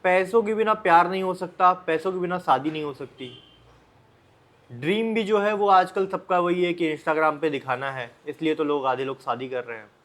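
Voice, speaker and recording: An Indian man with a notably high-pitched voice gives informal advice as a vlogger. He speaks bluntly and directly to the listener, often repeating phrases and posing rhetorical questions. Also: normal speed, fairly bright tone. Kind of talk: informal motivational advice talk to the viewer.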